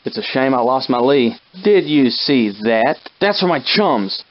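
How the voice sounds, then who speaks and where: Guy doing a folksy old timey soldier sidekick for a video game, mid pitched, plucky but rattled, kinda muffled lofi audio. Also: animated, a little slow, natural voice. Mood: tense, dutiful. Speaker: game character companion. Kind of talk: scripted video game character acting, old-time dialect.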